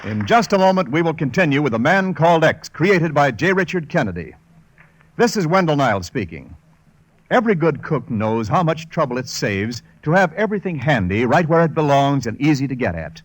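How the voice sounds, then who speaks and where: A man's voice: a little high, sing-song, brisk, rough recording. A man announcing for a vintage radio show and reading sponsor copy in a polished, emphatic sales style.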